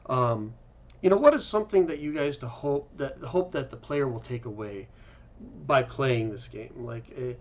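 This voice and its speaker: A man's voice: medium pitch, moderate pace, a little mellow, a little hiss or hum. A man hosting a casual interview chat. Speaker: host. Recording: dull, lo-fi call audio.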